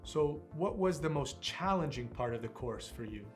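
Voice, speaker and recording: A man's voice: fairly high pitch, animated, normal speed, soft background music. A man hosting an interview, asking questions in an upbeat, friendly, scripted way. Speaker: interviewer for the academy.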